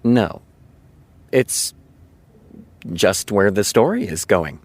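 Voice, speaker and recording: A man playing a measured, self-assured television writer in a naturalistic audio drama. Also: lowish voice, big pitch swings, no echo, full character voice. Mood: matter-of-fact.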